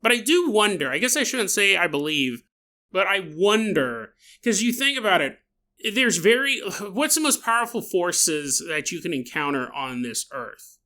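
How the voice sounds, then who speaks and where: A male podcast host tells an odd story with a playful, joking manner, laughing often. His voice is bright and sharp. His pitch swings up and down, so the telling sounds animated. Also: moderate pace. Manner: jokey, laughs often, acts out side remarks. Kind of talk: comedic paranormal storytelling.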